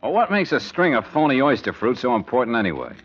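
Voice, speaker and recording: Slangy american sleuth, old radio show, sarcastic, bouncy intonation, muffled. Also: male voice, medium pitch, big pitch swings, breathy edge. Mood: wry, probing. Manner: sardonic, slangy, quick comebacks. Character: wry, tough-talking male amateur sleuth. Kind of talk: 1940s-50s radio detective acting. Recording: muffled vintage radio broadcast.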